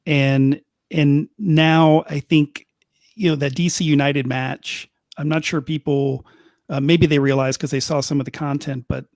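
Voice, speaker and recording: A man's voice: medium pitch, no room sound, clean studio sound. A middle-aged man, a longtime sports reporter on a podcast panel, talking casually with lots of restarts. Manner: rambling, many restarts and fillers. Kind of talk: casual chat.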